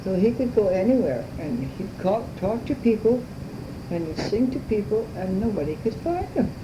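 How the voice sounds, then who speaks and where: A woman's voice: medium pitch, sing-song, normal speed. An elderly grandmother telling bedtime stories informally, in simple, repetitive, homespun phrasing. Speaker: grandmother storyteller. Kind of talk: informal oral bedtime storytelling. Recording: noisy amateur home recording.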